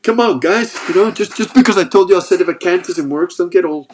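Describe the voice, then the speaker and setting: A man's voice: high-pitched, fast talker, slight background noise. A man with a sore throat hosting a casual livestream, reading chat and joking as he rambles. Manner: rambling, joking, restarts often.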